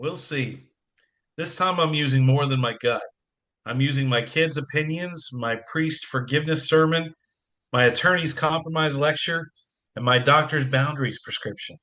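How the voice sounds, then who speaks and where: A man's voice: a little high, moderate pace, bright, forceful tone. A man playing a wry, stressed soccer-coach father who jokes at his own expense in an audio play. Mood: earnest, wry. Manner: joking, self-deprecating.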